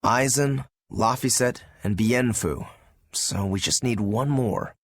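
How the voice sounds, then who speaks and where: A man voicing a composed, wry male companion in a video game, dry and measured. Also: mid-range voice, slightly rough, no echo. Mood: pondering. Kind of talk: video game cutscene voice acting.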